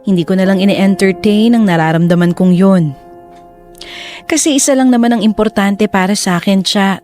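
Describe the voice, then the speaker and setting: An actress playing a reflective, remorseful lover who narrates a love story in the first person. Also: female voice, medium pitch, moderate pace, darkish tone, soft background music, natural voice.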